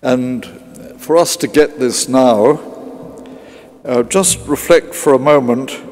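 Slow-speaking male preacher in a reverberant cathedral. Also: medium pitch, a little mellow. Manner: measured, with deliberate pauses between short phrases. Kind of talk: sermon in a church service. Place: large cathedral interior.

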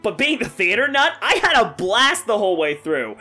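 Bright exasperated young guy voiced by a woman. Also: mid-range voice, big pitch swings, moderate pace, soft background music. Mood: exasperated, dry. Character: exasperated, theatrical young cartoon guy, sarcastic.

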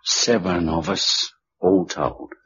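An actor playing a joking working-class blind war veteran, chatty and wry, in a radio drama. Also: male voice, deep voice, slow pace, slightly rough, exaggerated voice. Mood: subdued. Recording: muffled old radio recording, loud background noise.

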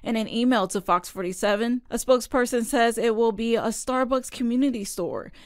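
A young woman working as a TV news reporter, narrating a local news story in a clear, measured newsreader delivery. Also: a little high, no echo, clean studio sound.

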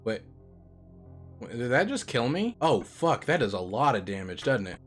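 A young man live-streaming a horror game on a headset mic, joking and cursing, with loud excited reactions. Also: mid-range voice, normal speed, soft background music. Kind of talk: casual live-stream commentary.

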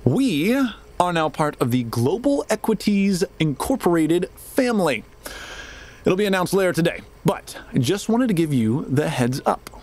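A condescending boss in an audio drama. The voice is somewhat high and very animated, delivering formal office-speak in a smooth, superior manner. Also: male voice, normal speed. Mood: self-satisfied. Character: smooth-talking, condescending corporate manager.

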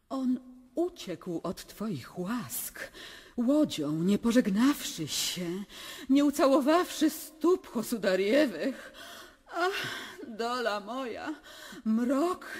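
A woman's voice: medium pitch, sing-song, slow, darkish tone, breathy edge, exaggerated voice. An actress playing a plaintive, scheming lady of the Russian court in a radio drama, lamenting melodramatically. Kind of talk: theatrical radio drama acting.

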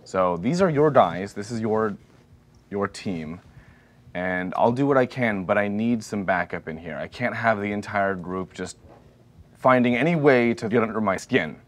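A man's voice: on the low side, lively intonation, normal speed. Exasperated man playing a tabletop character. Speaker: player.

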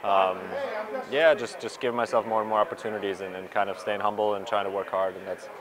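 A man's voice: fairly low pitch, flat delivery, fast talker, a crowd in the background. A young man, a pro soccer player, answering reporters after a game, hesitant with many fillers and restarts. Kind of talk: postgame media scrum. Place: stadium media area in front of sponsor backdrop. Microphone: reporters' handheld mics and recorders held close.

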